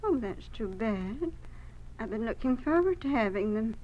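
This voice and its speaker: A woman acts out a warm, bustling older mother on a farm. Her voice is very high, homey and full of exclamations. The vintage radio drama recording is clearly noisy. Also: animated, normal speed, dull audio, natural voice. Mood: joyful, tearful. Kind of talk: 1950s radio drama acting.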